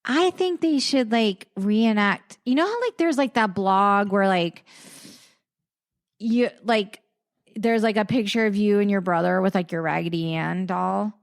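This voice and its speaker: A woman's voice: medium pitch, a little slow. A woman from South Carolina co-hosting a podcast in casual, playful chat, saying "like" constantly. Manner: chatty, heavy use of "like", playful. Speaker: podcast co-host.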